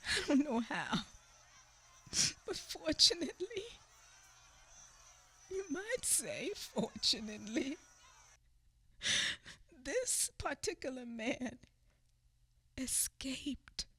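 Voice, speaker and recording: Slow, dramatic woman storyteller. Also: sing-song, breathy edge. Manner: slow, halting, with repeated words and sudden swings in pitch and loudness; drops to a whisper at times. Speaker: narrator in a radio play. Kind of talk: dramatic spoken-word storytelling.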